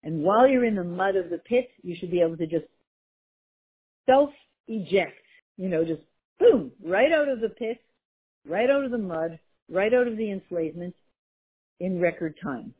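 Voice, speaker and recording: Female Torah teacher, animated lecture style, slightly husky, tinny phone-line recording. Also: on the low side, moderate pace, pauses often. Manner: lively, mixes Hebrew and Yiddish terms, searches for words. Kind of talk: Torah class explaining a text.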